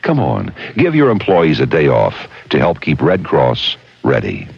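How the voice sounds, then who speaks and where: A man reading a scripted public service announcement on radio, husky and direct, with a persuasive manner. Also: very deep voice, wildly swooping pitch, measured pace, no room sound. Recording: dull old radio broadcast recording.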